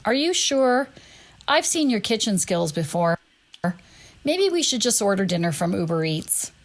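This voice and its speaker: Actress as a skeptical woman with very lively intonation. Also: medium pitch, measured pace. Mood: skeptical teasing. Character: skeptical, teasing woman in a radio commercial. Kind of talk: scripted radio commercial dialogue.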